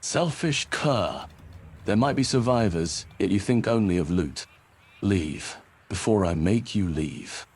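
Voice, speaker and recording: A man's voice: fairly low pitch, animated, slow pace, a little mellow, slightly rough, full character voice. A man playing a noble paladin hero in a fantasy game, polite, composed and firm. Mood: stern, indignant. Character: noble, calm, righteous male paladin hero.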